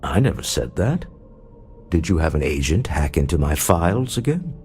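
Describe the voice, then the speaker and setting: An actor playing a guarded, gruff executive husband in a video game, husky-voiced, curt and businesslike. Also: male voice, extremely low pitch, big pitch swings, normal speed, darkish tone, soft background music.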